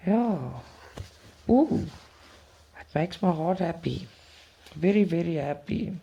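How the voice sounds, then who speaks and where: Gravelly Afrikaans-accented woman, effusive chat. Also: lowish voice, lively intonation, slow, warm tone, highs cut off. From South Africa. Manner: chatty, effusive, repeats words. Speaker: cross-stitch channel host. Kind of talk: casual chat with live viewers.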